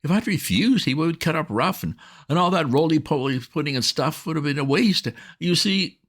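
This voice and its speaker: A man's voice: fairly high pitch, lively intonation, normal speed, a bit bright, pitched above the performer's own voice. A man voicing a breezy young woman who gushes and coaxes in an airy way in a storybook reading. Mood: wheedling. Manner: gushing, coaxing, airy.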